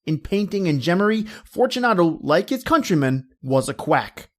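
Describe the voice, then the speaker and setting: A man's voice: high-pitched, sing-song. Man acting a theatrical, cunning, vengeful nobleman. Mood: brooding, resolute. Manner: theatrical, deliberate, formal phrasing. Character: vengeful Italian nobleman narrator, cold, cunning, falsely courteous. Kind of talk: dramatic horror storytelling in old radio manner.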